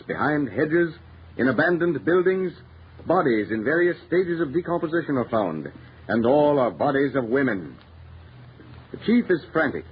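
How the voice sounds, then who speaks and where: A man narrating an old-time radio mystery in a grave, theatrical manner on a muffled, hissy vintage recording. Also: mid-range voice.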